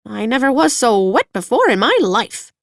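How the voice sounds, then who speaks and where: A woman voicing a fussy, proper yellow hen in a storybook reading, with a prim, confiding manner. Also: a little high, very animated, normal speed, vocal fry, no echo, clean studio sound, voice pushed up. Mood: prim chatter. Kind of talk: audiobook character voice.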